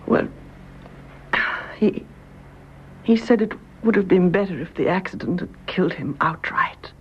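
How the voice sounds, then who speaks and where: An actress with a British accent playing a distressed, genteel young widow in a radio drama, emotional and faltering, pleading and defensive. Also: female voice, fairly low pitch, unhurried, muffled recording, a little hiss or hum, natural voice.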